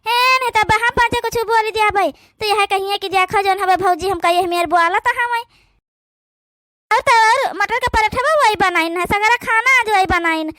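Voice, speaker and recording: A woman voicing a resentful, sharp-tongued village sister-in-law in a family cartoon, complaining and taunting. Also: very high voice, normal speed, no room sound, clean studio sound. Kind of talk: rural family cartoon dialogue.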